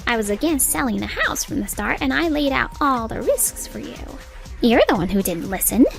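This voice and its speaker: A woman playing a stern, sharp-tongued secretary in a manga dub, with an emphatic, scolding way of talking. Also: on the high side, sing-song, normal speed, vocal fry, soft background music. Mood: reproachful. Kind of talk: expressive manga dub voice acting.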